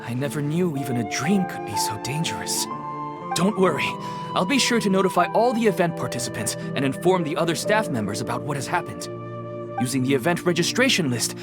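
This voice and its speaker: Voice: medium pitch, lightly acted. An actor playing a helpful, dutiful event organizer in a video game, polite and earnest. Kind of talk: video game character dialogue.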